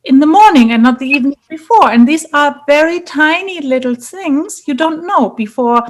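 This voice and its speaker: A woman's voice: sits high, animated, normal speed. A woman from Germany with a German accent, answering in a conversational interview and often checking for agreement as she searches for phrasing. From Germany, Munich. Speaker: interview guest, leadership coach and businesswoman.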